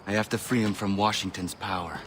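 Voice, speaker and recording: A man's voice: on the low side, steady tone, a little fast, background noise, close to an everyday voice. An actor playing a stoic, earnest young male warrior and hunter in a video game cutscene. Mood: solemn.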